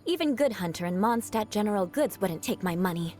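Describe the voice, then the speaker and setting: Over a music bed comes a woman acting as a proud young female knight from a video game, an outcast noblewoman with a grudge. Her mid-pitched voice swings expressively through haughty, formal phrases and indignant emphasis. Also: expressive, brisk, darkish tone, lightly acted. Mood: indignant, defiant. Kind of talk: scripted video game character voice lines. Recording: music behind the voice.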